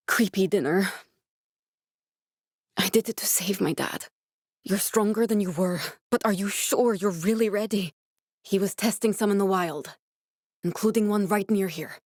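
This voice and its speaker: Serious young woman scientist in a survival game, guarded and determined, mid pitch, really dry sound. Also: darkish tone, dry recording. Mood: earnest, reassuring. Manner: earnest, serious. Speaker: video game voice actress. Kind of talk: dramatic video game dialogue.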